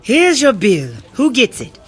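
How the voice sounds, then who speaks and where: An actress playing a sly waitress who runs a swamp clam bar, taunting and playfully sardonic, in an audio drama. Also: female voice, fairly high pitch, sing-song, a little slow, soft background music. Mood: brisk, flirtatious. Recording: clearly noisy outdoor night ambience with insects. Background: crickets and insects.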